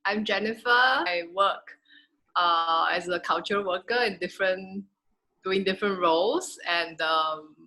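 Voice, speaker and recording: A woman's voice: fairly low pitch, bright, forceful tone, low-quality recording. A woman artist and cultural worker introducing herself and chatting casually about her work in an informal way. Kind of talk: casual introduction in an online conversation.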